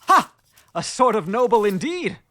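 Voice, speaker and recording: Young French nobleman, theatrical, boastful, high voice, swooping intonation, audio drama. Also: big pitch swings, measured pace, sharp tone, slight background noise, heavily acted. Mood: excited. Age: young adult. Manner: theatrical, exclamatory. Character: excitable young French viscount, boastful and naive.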